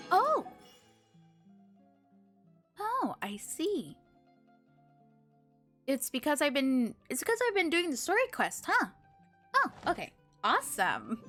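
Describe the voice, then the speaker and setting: A woman's voice: on the high side, a bit bright. A woman chatting casually on a live game stream, musing aloud to herself and her viewers.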